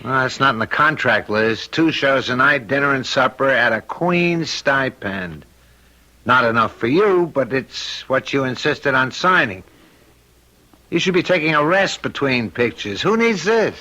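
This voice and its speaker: An actor playing a protective, plain-spoken show-business agent, brisk and informal in a radio drama. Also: male voice, mid-range voice, animated, sharp tone, poor audio. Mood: exasperated.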